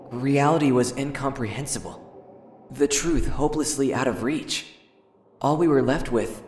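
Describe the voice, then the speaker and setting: A man voicing an earnest, anguished high-school boy in an introspective, dramatic anime fandub. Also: roomy sound, lightly acted. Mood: bleak, numb.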